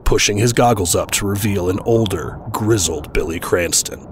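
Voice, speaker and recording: A man's voice: low-pitched, moderate pace, a little hiss or hum. A man reading scripted narration as the narrator of an audio drama.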